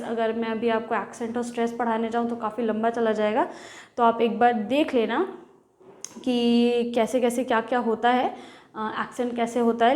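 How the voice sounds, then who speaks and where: A woman's voice: on the high side, a little mellow. A woman teacher giving a lesson in Hindi, mixing in English words as she explains. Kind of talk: bilingual classroom lecture. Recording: echoey room.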